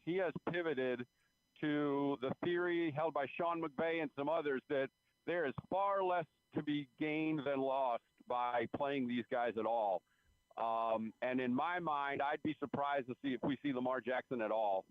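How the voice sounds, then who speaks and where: A man giving measured, explanatory sports analysis as a beat writer guest, over a tinny phone line. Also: a little high, no echo. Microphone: phone call.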